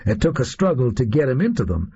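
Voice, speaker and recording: A male reader voicing a disillusioned, boastful gunman in an audio story, with a high, reedy voice and folksy, slangy delivery. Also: sing-song, fast talker, warm tone, husky, highs cut off, full character voice. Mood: brisk, boastful.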